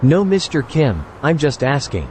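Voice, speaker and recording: A man's voice: a little high, sing-song, a little slow, noisy background. A man voicing a curious, polite young university student in an audio novel. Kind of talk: scripted audio novel dialogue.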